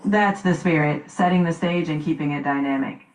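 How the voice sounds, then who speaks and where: A woman's voice: low voice, moderate pace, a little mellow, echoey room, slight background noise, poor audio. A woman acting as an upbeat, encouraging assistant to the show's host.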